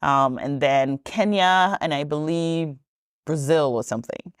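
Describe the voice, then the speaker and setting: A woman's voice: deep voice, a little slow, smooth, dry recording, clean studio sound. A woman, a podcast guest telling her story in a chatty, rambling way with many ums and self-corrections. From Trinidad and Tobago. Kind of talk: casual storytelling interview.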